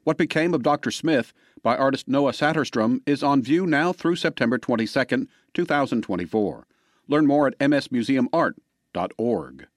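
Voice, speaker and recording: A man reading a sponsor message for a radio podcast in a measured way. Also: mid-range voice, animated, no echo, studio quality. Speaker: sponsor announcer. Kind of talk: read underwriting message.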